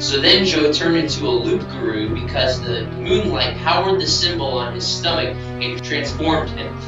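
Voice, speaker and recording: A man's voice: fairly high pitch, lively intonation, normal speed, dull audio, lightly acted. A man playing a brave, earnest young ninja hero with dramatic delivery in an amateur audio drama. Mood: explaining. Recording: echoey, distant hobby recording over music.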